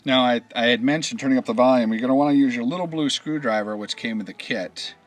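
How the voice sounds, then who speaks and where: A man giving a casual step-by-step installation tutorial, explaining in a halting way and often trailing off. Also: medium pitch. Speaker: product installation instructor.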